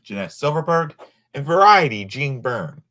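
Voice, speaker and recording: A man reading a nonfiction book aloud informally, sometimes halting over words and adding asides. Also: medium pitch, very animated, bright, forceful tone.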